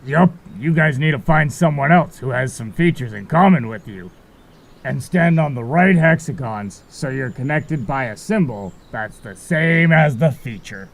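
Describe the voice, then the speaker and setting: A man's voice: mid-range voice, lively intonation, a little slow, vocal fry. A man voicing a carefree, cagey marsh fairy guide in an audio drama, talking in a breezy, casual way. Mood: explaining, assured. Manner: breezy, casual, a bit evasive.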